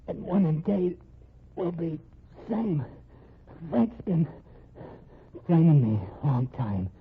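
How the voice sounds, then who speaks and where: Slow, gasping wounded man. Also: fairly high pitch. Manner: halting, broken phrases. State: out of breath, in pain. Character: gut-shot man, desperate, gasping, devoted brother. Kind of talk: old-time radio crime drama acting. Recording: muffled, faintly noisy vintage radio.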